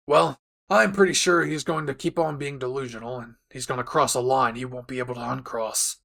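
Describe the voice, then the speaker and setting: A man playing a gruff, blunt, short-tempered adult male hero in an anime audio roleplay, sarcastic and menacing. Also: medium pitch, steady tone, a little fast, sharp tone. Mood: weary, serious.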